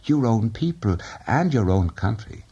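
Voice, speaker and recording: A man's voice: fairly low pitch, very animated, unhurried, warm tone, breathy edge, lo-fi sound, pitched above the performer's own voice, heavily acted. A man voicing an earnest young woman, who presses with probing questions. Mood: challenging.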